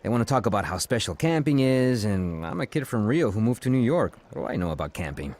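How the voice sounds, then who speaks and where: Gushing romantic, lively delivery. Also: male voice, fairly low pitch, fast. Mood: earnest, self-pitying. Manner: effusive, florid, theatrical. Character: gushing, amorous Brazilian male ad copywriter. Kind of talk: audio drama acting.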